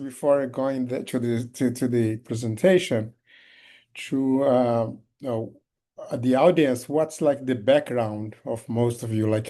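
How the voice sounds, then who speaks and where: A man with a Brazilian Portuguese accent presents in his second language over a video call. His pitch sits in the middle, and he talks haltingly, full of restarts and fillers. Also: mellow. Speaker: guest speaker. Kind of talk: online talk.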